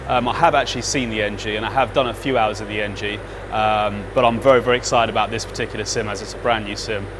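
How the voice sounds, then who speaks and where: A man in his thirties, from the United Kingdom, talks in a low voice, steady and measured, pausing on ums. Clear background noise sits under his words. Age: 30s. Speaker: pilot trainee. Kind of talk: interview testimonial.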